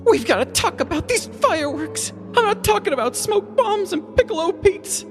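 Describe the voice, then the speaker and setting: A woman's voice: very high-pitched, very animated, normal speed, soft background music, pitched above the performer's own voice. A woman voicing an anxious, outraged male townsman in a comic story, agitated and indignant. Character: anxious, outraged male resident complaining at a town meeting.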